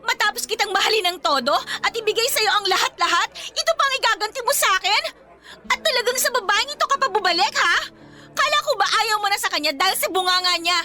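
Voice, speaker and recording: A woman's voice: very high voice, animated, fast talker, pushed and bright, faint music behind, heavily acted. An actress with a Filipino accent playing a jealous, confrontational young woman in an emotional radio romance drama. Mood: furious, hurt. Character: jealous, fiery young woman, betrayed girlfriend.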